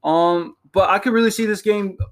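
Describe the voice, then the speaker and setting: A young man co-hosting a casual sports podcast, talking in a halting way with many ums and restarts. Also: high voice. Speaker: podcast co-host.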